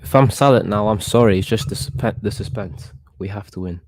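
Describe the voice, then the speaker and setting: A young man from Portugal, about eighteen, hosting a casual football watchalong stream in an excitable, reactive way and often repeating himself. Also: lowish voice, brisk, dry recording. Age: young adult, about 18. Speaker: livestream host.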